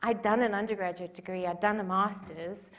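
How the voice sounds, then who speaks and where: A woman's voice: medium pitch, steady tone, fairly quick. Woman, 40s, South African accent, chatty and self-deprecating conference speaker, mid-range voice. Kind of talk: conference talk. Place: conference room.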